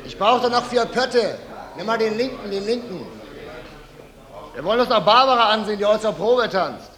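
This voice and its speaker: An actor playing a brisk, bossy film-studio crew chief, calling out orders colloquially in a radio play. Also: male voice, high voice, lively intonation, fairly bright tone. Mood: brisk, commanding. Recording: echoey hall with busy crowd behind.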